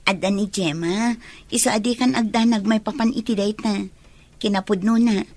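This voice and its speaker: A woman with a Filipino accent playing a kind, hospitable young working woman in an Ilocano audio drama, gentle and conversational. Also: mid-range voice, normal speed, no room sound, natural voice. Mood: friendly, coy.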